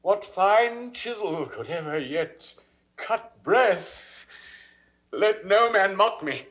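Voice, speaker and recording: Remorseful, awestruck king; British, high voice, very slow fervent classical Shakespearean delivery. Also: expressive, a bit bright, full character voice. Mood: fervent yearning. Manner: declamatory, fervent, drawn-out delivery. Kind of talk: classical 1960s Shakespearean recorded drama acting.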